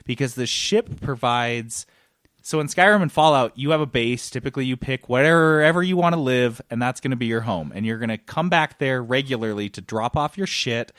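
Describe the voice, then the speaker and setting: A man's voice: medium pitch, expressive, moderate pace, fairly bright tone, no room sound. Male podcast co-host, enthusiastic and wordy, casual game chat, very dry close sound. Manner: enthusiastic, rambling explainer.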